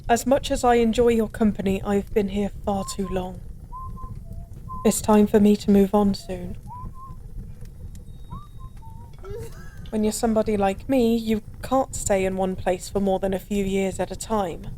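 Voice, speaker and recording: A woman's voice: medium pitch, fairly even intonation, moderate pace, mellow, close to an everyday voice. A woman playing a drunk, melancholy stranger who was once a god, confiding in a wistful, rambling, hesitant way in an intimate audio roleplay. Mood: resigned, confessional. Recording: clear background ambience noise. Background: bar ambience.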